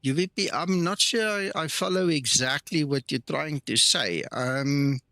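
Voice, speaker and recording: A man's voice: fairly high pitch, unhurried, bright, forceful tone, no echo, studio quality. A man whose first language is not English, hosting a live flight simulator stream in a casual, chatty way and greeting viewers by name. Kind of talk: live stream chat, reading and answering viewer comments.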